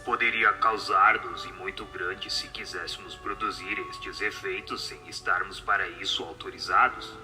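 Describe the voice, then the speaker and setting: A man's voice: low voice, fairly even intonation, normal speed, few pauses, music in the background, voice pushed down, natural voice. A man voicing a solemn, assured spirit who answers questions in a radio drama.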